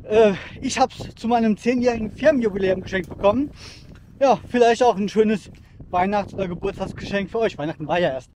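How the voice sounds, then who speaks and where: A man's voice: sits high, a little fast, a little mellow, slight background noise, poor audio. A man in his thirties talking casually while hiking through a rocky forest, reviewing outdoor gear with lots of fillers and enthusiasm. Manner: chatty and enthusiastic, with frequent fillers.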